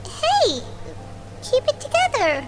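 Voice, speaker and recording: A woman voicing a tiny, cheeky floating fairy companion with a squeaky, childlike voice in a video game. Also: slow, very noisy, heavily acted. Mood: urgent. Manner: brash, excitable. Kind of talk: video game character acting. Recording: music behind the voice.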